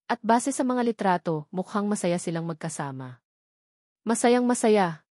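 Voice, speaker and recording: A woman's voice: mid-range voice, lively intonation, moderate pace, a little mellow, no echo, studio quality. Filipino woman narrating a dramatic story.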